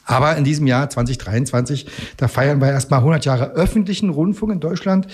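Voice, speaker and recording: A man giving informal, explanatory answers as an interview guest, often halting and rephrasing. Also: on the high side, darkish tone, breathy edge. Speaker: radio museum representative, interview guest.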